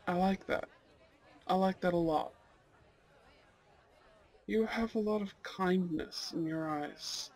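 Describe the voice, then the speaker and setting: Male actor as young Goth blind date: higher register, slow delivery, sincere, hesitant, intimate ASMR roleplay. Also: full character voice. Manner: soft-hearted, hesitant, sincere.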